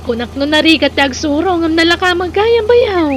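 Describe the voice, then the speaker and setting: A woman's voice: very high-pitched, lively intonation, moderate pace, slight background noise. An actress with an Ilocano Filipino accent playing a flirtatious woman in love with her brother-in-law in an audio drama. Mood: grateful.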